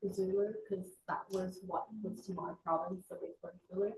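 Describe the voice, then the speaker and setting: A woman gives short, matter-of-fact answers in a class. Her voice is warm and mellow, and it echoes around the room over a faint background hiss. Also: fairly low pitch, fairly even intonation, normal speed. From South Africa. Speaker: class participant. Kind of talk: casual introduction.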